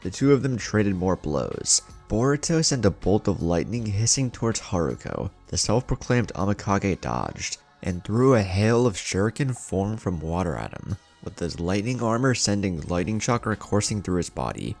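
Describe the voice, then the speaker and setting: A man's voice: fairly low pitch, sing-song, moderate pace, gravelly, few pauses, darkish tone, soft background music. A man hosting a story channel, reading scripted fan fiction in a dramatic narrator's delivery.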